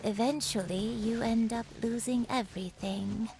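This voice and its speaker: An actress playing a frail, gentle old grandmother in a video game, wistful and humble, with a fragile voice. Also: on the high side, fairly even intonation. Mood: resigned, wistful. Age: older. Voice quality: creaky, fragile elderly voice. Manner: slow, wistful, humbly apologetic.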